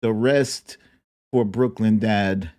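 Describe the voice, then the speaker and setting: A man hosting a live streamed show, chatting to viewers in a playful, theatrical way with drawn-out exclamations. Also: fairly low pitch, mellow. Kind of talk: live chat-show talk, greeting viewers by name.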